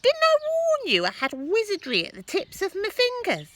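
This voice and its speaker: A woman voicing a bossy, boastful old grandmother in a storybook, yelling scornful, drawn-out words from high up. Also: huge pitch swings, background noise, heavily acted. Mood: boastful, crowing.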